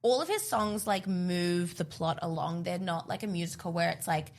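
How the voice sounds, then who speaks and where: A young woman co-hosting a podcast, chatting warmly with many filler words. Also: fairly low pitch, moderate pace. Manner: gushing, leans heavily on "like". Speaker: podcast co-host. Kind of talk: casual chat.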